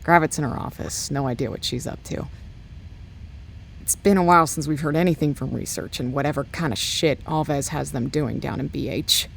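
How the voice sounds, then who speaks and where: A woman's voice: sits low, moderate pace, gravelly, a little hiss or hum. A woman playing a bored, sarcastic radio operator in an audio drama, talking in a flippant, informal way.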